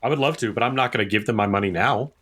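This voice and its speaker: A man's voice: fairly low pitch, rapid-fire, fairly bright tone. Emphatic, opinionated male podcast co-host. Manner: opinionated, emphatic, repeats points for stress. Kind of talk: casual podcast chat.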